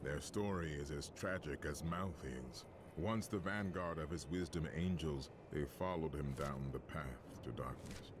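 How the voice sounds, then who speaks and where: A sombre, mournful storyteller from a dark fantasy game. The voice is extremely low and unhurried, set in clearly noisy ambience. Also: male voice. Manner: slow, sombre. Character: mournful male narrator of fallen angels' tale.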